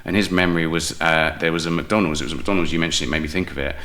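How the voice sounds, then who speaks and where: A man's voice: very deep voice, steady tone, racing, fairly bright tone. A middle-aged man, explaining things chattily as a guest in a casual interview. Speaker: guest, playwright and project founder.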